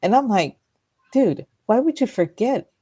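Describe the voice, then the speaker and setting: A woman's voice: on the high side, expressive, a little slow, mellow. A middle-aged woman co-hosting a radio talk show, telling rambling personal stories and laughing often. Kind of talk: casual storytelling chat. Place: radio studio.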